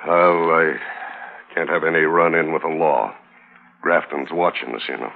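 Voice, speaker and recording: An actor playing an aging, stubborn cattle baron with a folksy Western drawl in a radio drama. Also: male voice, very deep voice, fairly even intonation, moderate pace, gravelly. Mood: wary, calculating. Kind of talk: 1950s radio drama acting. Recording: muffled, noisy old radio recording.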